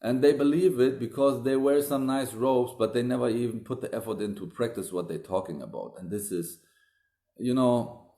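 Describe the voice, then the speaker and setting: A man's voice: mid-range voice, moderate pace, warm tone. A man gives an earnest, emphatic talk as a Daoist teacher, repeating himself for stress, recorded up close on a phone camera indoors. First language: German. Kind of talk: livestream talk to viewers. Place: room indoors. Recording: echoey room.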